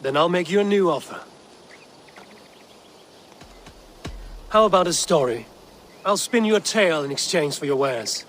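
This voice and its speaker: An actor playing a bold, cunning Norse Viking raider with a theatrical streak in a video game. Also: male voice, a little high, expressive, brisk, fairly bright tone, slight background noise. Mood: brisk, assertive.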